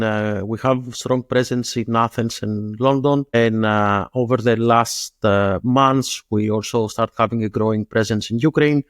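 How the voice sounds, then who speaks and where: Greek guy giving interview answers, kinda halting n explanatory, mid pitch, very dry recording. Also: no room sound. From Greece. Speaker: startup founder and interview guest.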